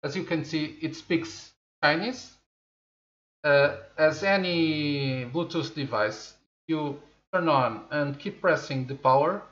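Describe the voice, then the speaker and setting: A man's voice: fairly high pitch, a bit bright. A man in his forties, a native Portuguese speaker, hosting a tech review channel and unboxing a product at a studio desk in a casual, thinking-aloud way. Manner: slow, chatty, thinking aloud with many fillers. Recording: echoey room, slightly dull audio.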